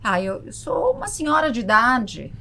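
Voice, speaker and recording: Brazilian woman guest explaining stuff casually, highish voice, bit of background noise. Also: wildly swooping pitch, moderate pace, bright, forceful tone. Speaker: podcast guest, travel content creator.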